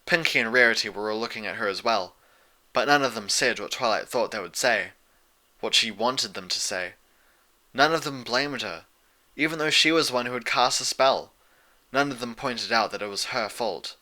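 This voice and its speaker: A man's voice: medium pitch, moderate pace, pushed and bright. A man narrating a fan fiction audiobook in a scripted, literary storytelling way.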